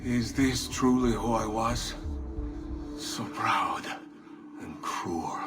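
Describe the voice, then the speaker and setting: An actor playing a proud, scheming usurper in a video game, theatrical and deliberate in his delivery. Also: fairly low pitch, recorded from a distance, loud music behind the voice. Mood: rueful. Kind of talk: dramatic video game cutscene acting.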